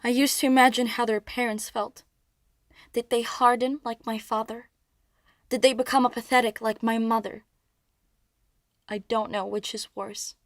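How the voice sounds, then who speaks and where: A woman's voice: a little high, no echo, studio quality, lightly acted. A woman playing an anguished, haunted young princess of Greek myth in a theatrical audio play. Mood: haunted, brooding.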